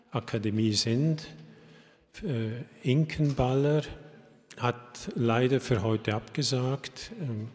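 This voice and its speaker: An older man with a Swiss German accent gives a halting opening address, full of fillers and restarts as he checks his notes. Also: mid-range voice, darkish tone. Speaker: architect giving an opening address. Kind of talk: hesitant welcome speech at an exhibition opening. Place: academy event hall. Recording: long hall reverb.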